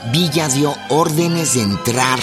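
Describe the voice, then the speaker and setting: A man with a Mexican accent giving measured, dramatic narration for a historical radio drama. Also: fairly high pitch, music in the background.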